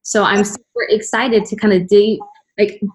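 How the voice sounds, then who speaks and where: A woman's voice: medium pitch, fairly even intonation, normal speed, darkish tone, slight background noise, poor audio. A woman hosting a podcast interview in an informal, effusive, chatty style. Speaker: podcast host.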